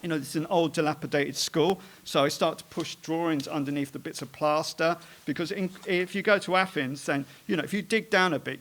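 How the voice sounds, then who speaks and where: A man, an artist, talking informally about his own work, halting often with fillers and restarts as he rambles through it. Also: fairly high pitch, creaky, a bit bright.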